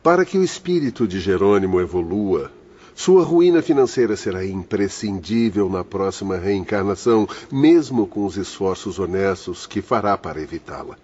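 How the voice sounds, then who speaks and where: An actor playing a learned spirit doctor who explains things in a measured, husky voice. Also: male voice, low-pitched, expressive, a little mellow, dull audio. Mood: explanatory. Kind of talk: audio drama acting.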